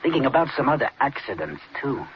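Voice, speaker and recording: A man's voice: medium pitch, big pitch swings, fast talker, close to an everyday voice. An actor playing a tense, guarded husband secretly plotting against his wife, in 1940s radio style with feigned casualness. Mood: feigned worry. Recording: muffled, noisy old radio recording.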